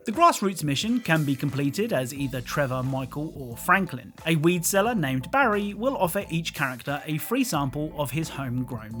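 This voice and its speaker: A man's voice: fairly high pitch, fairly bright tone. A man reading scripted video game commentary in a dryly humorous way. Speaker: video narrator. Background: music.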